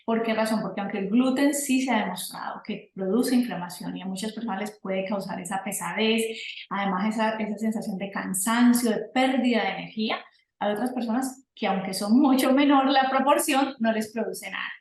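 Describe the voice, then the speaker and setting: A woman's voice: a little high, a little fast. Woman explaining nutrition in an echoey room. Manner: fluent, explanatory, advising. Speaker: nutrition guest expert. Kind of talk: explanatory interview answers.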